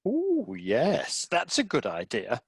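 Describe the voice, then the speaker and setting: A man's voice: mid-range voice, wildly swooping pitch, slow, slightly rough, heavily acted. A man playing a camp, wounded and snobbish classical pianist in a comedy radio play. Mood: mischievous.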